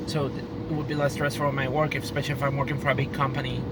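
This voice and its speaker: A man's voice: mid-range voice, level tone, very fast. A man whose first language is Spanish, speaking English with a Spanish accent in a casual vlog monologue, rambling and restarting as his thoughts race ahead. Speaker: vlogger. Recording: loud background noise.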